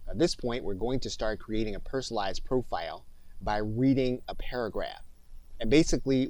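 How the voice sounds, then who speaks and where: Male instructor for software tutorial voice-over: fairly deep pitch, methodical step-by-step delivery, dry close recording. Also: dry recording, rough recording.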